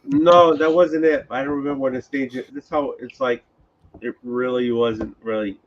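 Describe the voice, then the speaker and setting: A man's voice: medium pitch, fairly even intonation, normal speed, lo-fi sound, slight background noise. A man chatting on a live stream, crude and teasing, swearing freely at his friends. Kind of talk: casual chat on a live stream.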